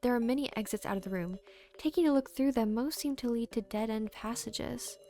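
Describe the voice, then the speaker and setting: A woman's voice: on the high side, brisk, warm tone, soft background music. A woman game streamer reading on-screen text aloud and adding casual asides.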